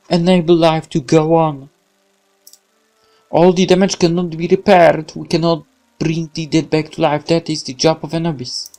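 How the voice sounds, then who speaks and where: A man voicing a grandiose wartime propaganda minister in a game, declaiming a solemn, loud political speech. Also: on the high side, close to an everyday voice. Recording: music under the voice.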